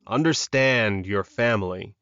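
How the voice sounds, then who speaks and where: A man voicing a calm, protective male werewolf alpha in an audiobook, measured and reassuring. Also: on the low side, clear, resonant tone, dry recording, highs cut off. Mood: advising.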